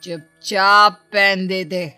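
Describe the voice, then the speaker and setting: A woman voicing a scheming, bullying middle-aged mother-in-law in a moral story, with a sharp-tongued, imperious manner. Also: mid-range voice, steady tone, extremely slow, clear, resonant tone, soft background music, full character voice. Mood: threatening. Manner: commanding, threatening, stammering when cornered.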